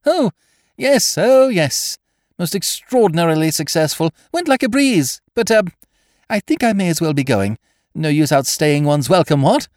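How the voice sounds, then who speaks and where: A flustered young English gentleman, as a male reader puts him on, his voice jumping high and thin as he dithers and splutters. The bursts swing widely up and down, and the reading is close and dry with no room sound. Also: very animated, moderate pace, no echo. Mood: panicky. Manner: stammering, dithering, breathless bursts. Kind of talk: audiobook character voice.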